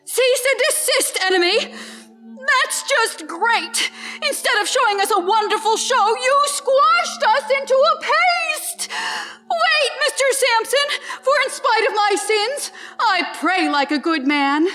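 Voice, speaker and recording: Shrill, panicky townsperson. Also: female voice, expressive, slow pace, pushed and bright, faint music behind, full character voice. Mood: overwrought pleading. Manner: exclamatory, overwrought. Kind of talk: comic stage play reading. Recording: reverberant hall.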